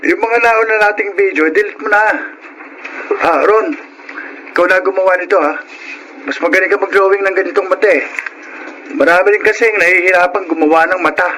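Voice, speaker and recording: A man's voice: high-pitched, steady tone, a little fast, long pauses, bright, forceful tone. An actor playing a friendly young Filipino boy who makes videos with friends, in a radio drama. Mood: businesslike, hurried. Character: young boy, friendly vlogging friend, hurrying things along. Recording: noisy radio drama track.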